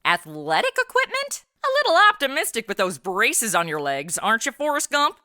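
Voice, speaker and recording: A woman's voice: on the high side, wildly swooping pitch, fairly quick, sharp tone, dry recording, studio quality, full character voice. A woman with an American accent playing a brash, flippant superheroine, sarcastic and irreverent, in a comedy audio drama. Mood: breezy, sarcastic.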